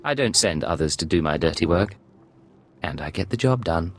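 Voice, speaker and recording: Low, cool secret agent. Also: male voice, lively intonation, moderate pace, a bit bright, slight background noise. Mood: cool, curt. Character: cool, self-assured secret agent posing as a nobleman. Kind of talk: scripted video game drama acting.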